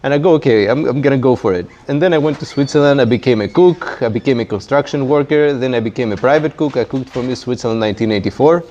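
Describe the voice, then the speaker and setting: A man's voice: mid-range voice, fast, few pauses, mellow. A man from Greece with a Greek accent talks casually as a guest in a chat, with a wry, self-deprecating manner. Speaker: guest, startup founder. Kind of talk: casual fireside chat interview. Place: community tech workspace, live event. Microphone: handheld microphone. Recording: dull, muffled sound with background noise.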